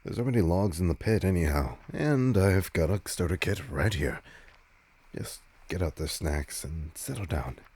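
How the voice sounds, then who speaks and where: A man playing a laid-back, teasing, protective vampire on a date in an intimate audio roleplay, speaking casually with a husky, breathy voice. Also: deep voice, expressive, normal speed, a little mellow, full character voice. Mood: casual, focused.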